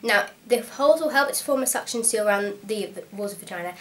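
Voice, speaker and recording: A young woman talks straight to camera in a chatty, frank, practical way, her voice a little hoarse from a cold. She explains things step by step at an everyday medium pitch, recorded at home on a camera mic. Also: animated, fast talker, fairly bright tone, slight background noise. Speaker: vlogger. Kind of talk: casual instructional vlog.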